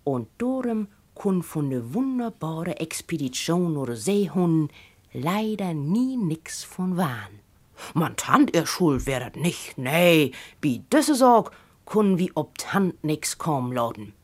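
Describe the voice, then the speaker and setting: Female storyteller, Low German accent, lowish pitch, very lively intonation for humorous prose. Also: slow. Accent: northern German, Low German. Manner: animated, acts out characters' speech. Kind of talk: expressive literary reading of a humorous story.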